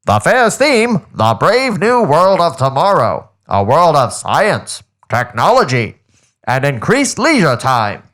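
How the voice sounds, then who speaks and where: A man playing a brisk, excited cartoon announcer hawking the wonders of the future in old newsreel style. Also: on the high side, full character voice. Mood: grand, excited.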